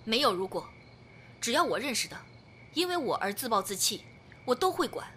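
A woman playing a steady, protective young partner with a firm temper, speaking earnestly in a modern Chinese audio drama. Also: fairly high pitch, fairly quick, slight background noise. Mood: firm, reassuring.

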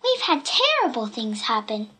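A woman voicing an anxious, excitable young girl in a children's storybook. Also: a little high, moderate pace, husky, full character voice. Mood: excited, alarmed.

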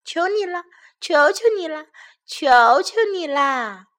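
A small, excitable boy bear cub from a storybook, acted out by a woman narrator. The voice sits high and is eager, boastful and pleading. It exclaims and begs like a keen little child. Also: slow pace, full character voice. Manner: exclaiming, begging.

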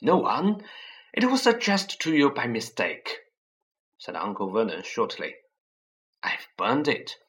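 A man's voice: mid-range voice, sing-song, slow pace, bright, forceful tone, exaggerated voice. A man voicing a hot-tempered, bullying middle-aged uncle in a storybook reading, barking and sputtering. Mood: curt.

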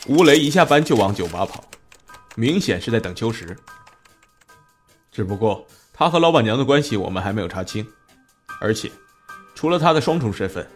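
A man's voice: medium pitch, natural voice. A man acting a commanding police team leader in an audio drama.

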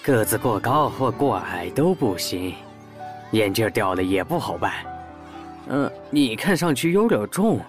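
A man's voice: mid-range voice, very animated, over music. A man playing a friendly-seeming workman stranger who coaxes children politely in an audio drama. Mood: coaxing, friendly. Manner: coaxing, polite, deliberate. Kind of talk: Chinese audio drama acting.

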